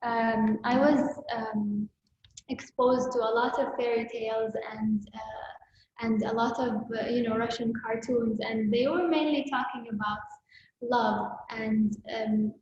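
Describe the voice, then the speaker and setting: A woman's voice: fairly high pitch, fairly even intonation, takes it slowly, a little mellow. A young woman giving thoughtful, somewhat hesitant answers as a guest artist in a conversational online talk. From born in Ukraine, with a Kuwaiti side. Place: indoor room, on a virtual talk. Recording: boxy echoing room, muffled online call, faint noise.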